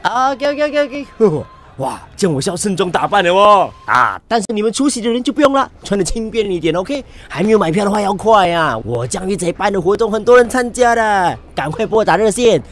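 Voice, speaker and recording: A man's voice: high-pitched, big pitch swings, fairly quick, hardly any pauses. A man playing a boastful, doting night-market hawker father in a comedic radio drama, with a Singaporean accent, exclaiming and mixing in English. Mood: boastful, excited. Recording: radio drama with music bed.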